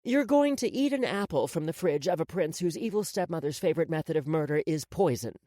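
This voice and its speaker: A man's voice: fairly high pitch, expressive, brisk, dark tone, dry recording, clean studio sound, close to an everyday voice. A man voicing a brazen older Mafia woman in an audiobook, mocking and blunt.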